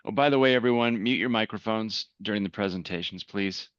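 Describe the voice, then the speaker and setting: A man's voice: on the low side, a little fast, fairly bright tone, no echo, muffled recording. A man hosting an online meetup, introducing speakers and making announcements in a casual manner with many fillers. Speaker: meetup host. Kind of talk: event announcements. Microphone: video call.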